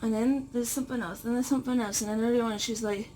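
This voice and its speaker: A woman hosting a casual vlog, chatting informally and haltingly to the camera. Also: fairly high pitch, fairly even intonation, darkish tone, a little hiss or hum. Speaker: vlog host.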